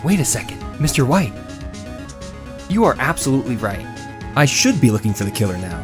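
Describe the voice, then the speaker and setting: An earnest young male attorney from a game drama, played by a man. He is dogged and expressive, his mid-range voice moving freely up and down. Music plays faintly underneath. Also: mid-range voice, brisk, lightly acted. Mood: determined confrontation. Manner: musing aloud, then pointed and accusatory.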